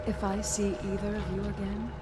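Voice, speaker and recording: An actress voicing a cruel, scornful female witch leader who speaks slowly and threateningly in a game cutscene. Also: medium pitch, level tone, natural voice. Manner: slow, contemptuous and threatening. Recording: game music behind.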